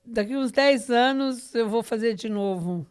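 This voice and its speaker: Brazilian woman hosting, clear smooth voice. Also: on the high side, a little slow, darkish tone. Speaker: podcast host. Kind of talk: conversational podcast introduction of a guest. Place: living room at home.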